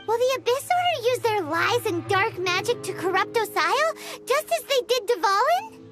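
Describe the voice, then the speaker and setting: A tiny childlike female fairy chirps over prominent game music. Her voice is extremely high and squeaky, with lively swoops. She is excitable and curious, a video game companion who questions everything. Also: normal speed. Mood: alarmed, curious. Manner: exclamatory, inquisitive, refers to self in third person.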